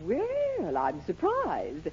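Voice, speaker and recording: A woman's voice: sits high, wildly swooping pitch, extremely slow, a little mellow, husky, heavily acted. An actress playing a haughty but amused middle-aged society lady, grand and arch, in 1940s radio sitcom style. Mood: relenting, amused. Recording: muffled 1940s radio, noisy, audience behind.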